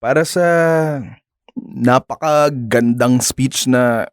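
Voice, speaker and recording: An actor with a Filipino accent playing a shy, polite young man, smitten and halting, in a radio drama. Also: mid-range voice, clear, resonant tone, no echo, clean studio sound, close to an everyday voice. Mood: admiring. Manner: hesitant, halting, nervous chuckles.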